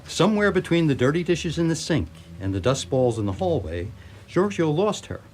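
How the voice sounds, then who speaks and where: A man's voice: a little high, big pitch swings, normal speed, a little mellow, slight background noise. A man narrating a scripted radio theatre comedy as a wry, playful storyteller.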